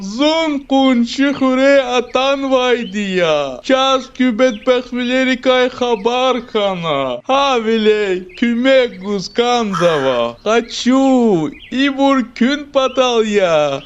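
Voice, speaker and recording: A man voicing a boastful, menacing dragon in a dubbed cartoon, with a slow, drawn-out delivery. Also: very high-pitched, animated, clean tone, full character voice. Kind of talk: cartoon dub acting. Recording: dull sound with background music.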